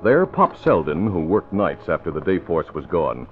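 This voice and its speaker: A man's voice: low voice, very animated, moderate pace. A man announcing and narrating dramatically in the scripted style of old radio. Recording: dull old radio recording. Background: music.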